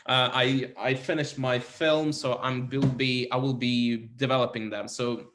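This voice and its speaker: A man from Kazakhstan, a Russian and Kazakh speaker, talking English in a halting, hesitant way as a guest in a casual interview. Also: mid-range voice, level tone, background noise. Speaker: interview guest, film photographer. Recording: roomy echo.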